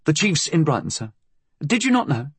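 A man's voice: on the high side, big pitch swings, moderate pace, breathy edge, no echo, voice pushed up, full character voice. A man voicing a nervous, deferential police inspector in an audiobook, hesitant and formally polite. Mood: eager, polite.

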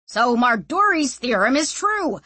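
Woman doing an excitable, husky male scholar, swinging pitch. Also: measured pace, no room sound, heavily acted. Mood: excited ranting. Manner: ranting, emphatic, stammering repeats. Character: excitable male scholar-inventor, blustering and intense.

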